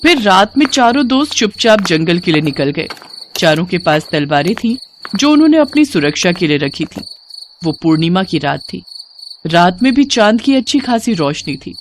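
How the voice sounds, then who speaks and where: A woman's voice: on the low side, sing-song, normal speed, a little hiss or hum. A woman storyteller giving a dramatic scripted narration of a story.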